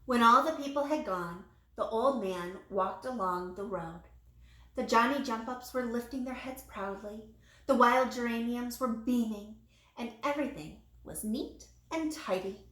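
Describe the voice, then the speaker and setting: A woman's voice: a little high, unhurried, a bit bright. A woman reading a children's story aloud, as a teacher would to young listeners. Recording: echoey room with light background noise.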